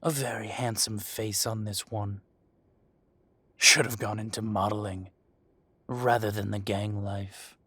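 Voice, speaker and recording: A man plays a male dragon shifter who runs the mafia, in an audio roleplay. His voice is close and dry with a husky rasp, and he talks slowly. He is mock-polite and possessive, taunting the listener with quiet threat. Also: fairly low pitch, fairly even intonation, dry recording, full character voice. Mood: taunting menace. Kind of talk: scripted roleplay audio drama, addressing the listener.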